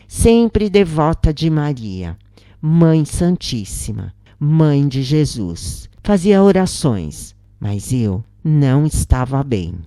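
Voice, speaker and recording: A woman narrates a scripted story dramatically. Her voice is low and unhurried, with lively rises and falls in intonation. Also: no room sound, studio quality.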